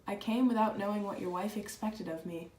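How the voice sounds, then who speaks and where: A woman playing an earnest, proud and candid letter writer in a dramatized reading, speaking reflectively and deliberately. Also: mid-range voice, a little mellow, lightly acted. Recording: echoey room with clear background noise.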